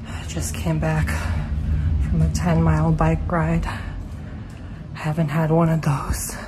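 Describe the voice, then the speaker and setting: A chatty man in his thirties rambles through a casual home vlog, leaning on "like" as he goes. His voice has a gravelly, fry-tinged edge. It is recorded on a handheld phone with a clear layer of background noise underneath. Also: fairly high pitch. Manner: chatty, rambling, heavy use of "like". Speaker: vlogger. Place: home, indoors. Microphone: handheld phone camera.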